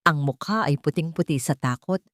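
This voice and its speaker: Female storyteller for dramatic romance audio fiction, slightly low voice, dry studio-like sound. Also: normal speed, no room sound. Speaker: story narrator. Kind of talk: dramatic scripted romance narration.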